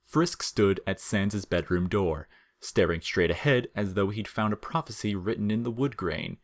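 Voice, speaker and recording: A man with a low-pitched voice narrates a comic story. He reads from a script in a measured way, keeping the humor deadpan.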